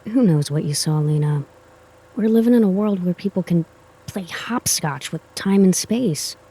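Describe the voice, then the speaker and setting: A woman's voice: fairly low pitch, normal speed, warm tone, husky. A woman playing a wry, world-weary character with a hidden kind streak in an audio drama, offhand and sarcastic. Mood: wry, reassuring. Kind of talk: dark superhero audio drama acting.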